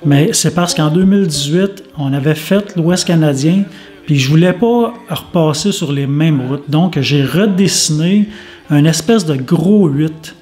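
A man with a Quebec French accent hosts and narrates a travel vlog. His voice sits a little high, and he talks casually over clearly audible music. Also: measured pace, a little mellow.

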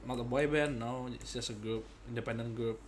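A man's voice: medium pitch, fairly even intonation, fairly quick, a little hiss or hum. A young man giving casual, jokey playthrough commentary, often hesitating and repeating himself. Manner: hesitant, repetitive, jokey asides. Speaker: game streamer. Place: indoor desk, game music behind.

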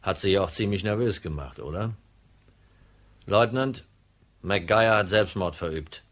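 An actor playing a cool, shrewd insurance detective in a radio crime drama, matter-of-fact and in control. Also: male voice, deep voice, measured pace, fairly bright tone. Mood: calm, explaining.

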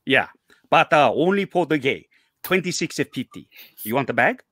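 A man's voice: on the high side, expressive, normal speed, a bit bright. A man in his sixties reading a curt older Korean shop owner with a Korean accent and broken English, on a headset over a video call.

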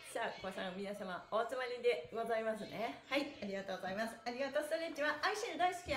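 A woman's voice: high-pitched, fairly bright tone. A middle-aged woman hosting a stretching class, giving cheerful, exclamatory and polite instruction with playful wording to a livestream audience. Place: small bare indoor room with exercise mat. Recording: echoey small room.

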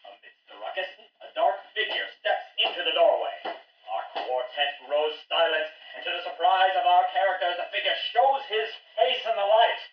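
A male actor as a dramatic old-time radio announcer with a fairly high voice. He delivers everything with theatrical suspense. The sound is dull and roomy, set back from the mic. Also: animated, moderate pace. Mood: suspenseful. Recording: dull, echoey, distant radio-play sound.

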